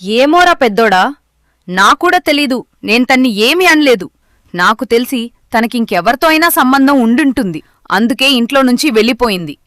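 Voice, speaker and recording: A woman's voice: on the high side, expressive, normal speed, fairly bright tone, no room sound, clean studio sound. A woman voicing a deceitful mother-in-law who feigns innocence in a cartoon story, defensive and insinuating. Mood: feigned innocence.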